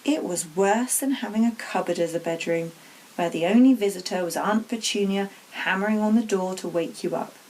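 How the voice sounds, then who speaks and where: A woman's voice: medium pitch, moderate pace, a little mellow, rough recording. A woman narrating a children's storybook aloud as a teacher would to pupils.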